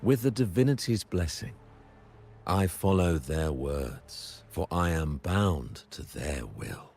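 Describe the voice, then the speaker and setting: A man's voice: low-pitched, expressive, slow pace, a little mellow, heavily acted. A man playing a solemn, zealous religious prophet in a video game, speaking formally and grandly as if preaching. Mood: solemn, reverent.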